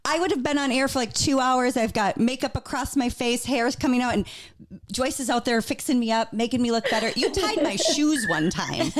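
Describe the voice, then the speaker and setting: A woman's voice: fairly high pitch, expressive, brisk. A woman co-hosting a podcast in casual chat, giggly and enthusiastic. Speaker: podcast co-host.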